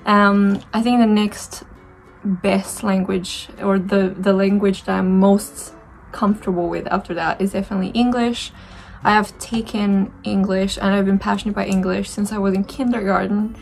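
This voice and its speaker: Gravelly-voiced young Romanian woman, reflective. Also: mid-range voice, fairly even intonation, normal speed, darkish tone, soft background music, poor audio. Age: 20s. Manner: reflective, rambling, many fillers and restarts. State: dry throat. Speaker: beauty vlogger. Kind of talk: casual chat while doing makeup.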